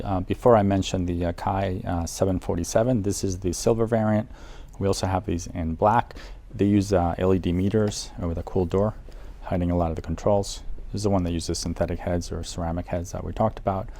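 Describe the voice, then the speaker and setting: Deep gravelly man presenting casually. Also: dark tone. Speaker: audio shop presenter. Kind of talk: casual product guide to camera. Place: audio shop, indoors. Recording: noticeable background noise, room ambience.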